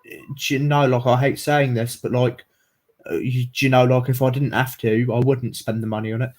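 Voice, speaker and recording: A man's voice: medium pitch, level tone, normal speed, darkish tone. A man from South Africa with a mixed South African, English, American and Irish accent, chatting in a casual, rambling, jokey way on a livestream. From South Africa, living in England.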